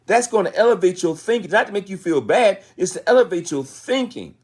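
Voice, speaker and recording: A man's voice: high-pitched, expressive, a little fast, husky. An American man in his sixties giving a motivational livestream talk in a folksy, colloquial way, repeating phrases for emphasis. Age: 60s. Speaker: motivational business speaker.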